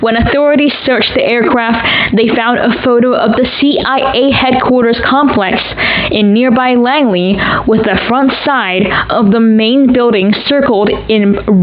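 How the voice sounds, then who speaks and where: An actress playing a serious news anchor, reading breaking news in a formal, measured audio drama style. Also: female voice, a little high, muffled recording, lightly acted. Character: serious female television news anchor covering attacks.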